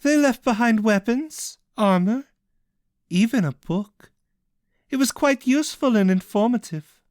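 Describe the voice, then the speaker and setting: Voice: mid-range voice, animated, measured pace, darkish tone, no echo, studio quality, pitched above the performer's own voice, natural voice. A storyteller voicing a cloaked woman villain who teases in a patient, cold way. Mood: teasing.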